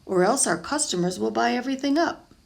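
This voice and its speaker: A kindly, busy mother in a children's storybook, voiced by a woman reader. Her lines are expressive and lift in pitch, with a creaky edge underneath. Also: a little fast. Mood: prompting. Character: busy, kindly mother running a family bakery.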